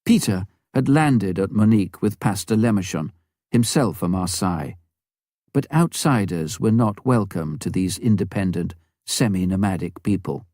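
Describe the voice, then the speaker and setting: A man reading a missionary story as an audiobook narrator, with a measured storytelling delivery. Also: low-pitched, expressive, dark tone, no room sound, studio quality.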